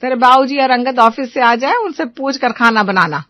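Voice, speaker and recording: A woman's voice: high voice, animated, normal speed, fairly bright tone, no room sound, voice pushed up. A woman voicing an older mother-in-law who is stubborn and scornful, with a whining, grumbling delivery in a story.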